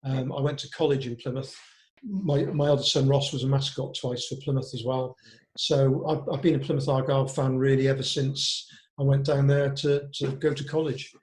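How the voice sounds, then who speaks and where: A man's voice: mid-range voice, fairly even intonation, moderate pace, darkish tone. An older man from Cardiff reminiscing in a casual interview, chatty and full of anecdote, recorded with a roomy echo. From Cardiff, Wales; born in Devon. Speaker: interview guest, walking football manager. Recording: roomy, echoing sound.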